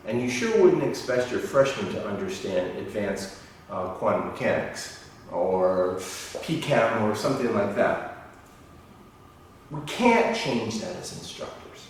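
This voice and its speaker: A middle-aged man from Ohio with a Midwestern American accent, a university lecturer explaining concepts in an animated teaching video. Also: lowish voice, unhurried, a little hiss or hum. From Ohio, United States. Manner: animated, gesturing, explaining in plain terms. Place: indoor office room. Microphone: far camera. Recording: echoey room, distant mic.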